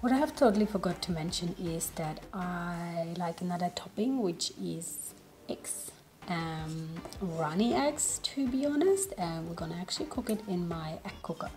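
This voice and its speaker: A woman's voice: fairly low pitch, lively intonation, moderate pace, darkish tone. A woman, a native German speaker, chatting casually through a home cooking demo while sniffly with a cold. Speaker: home cook vlogger. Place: home kitchen, indoors. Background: background music.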